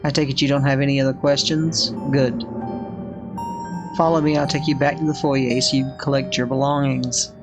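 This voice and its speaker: A curt, haughty woman from a visual novel, read by an older female player. She is a grudging hostess, dry and dismissive in clipped phrases. Music plays clearly behind her. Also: very deep voice, level tone, moderate pace. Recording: background music under the voice.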